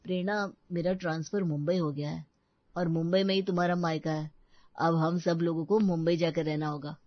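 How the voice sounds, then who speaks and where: A woman's voice: on the low side, steady tone, moderate pace, no echo, muffled recording, lightly acted. A woman voicing an easygoing, kindly grown man in a Hindi moral story cartoon. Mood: matter-of-fact.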